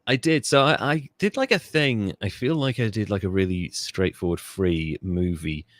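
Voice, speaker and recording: A man's voice: fairly low pitch, moderate pace, fairly bright tone, no echo. A man hosting a podcast, chatting casually and rambling, often stopping himself mid-thought and laughing. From England. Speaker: podcast host.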